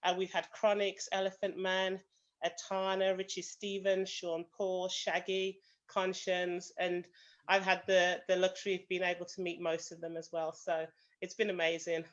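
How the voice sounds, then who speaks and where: A woman's voice: fairly low pitch, monotone, normal speed. A woman with a British accent introducing her organisation as its president in a semi-formal online meeting. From British of Jamaican family. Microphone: video call. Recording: dull lo-fi video call audio.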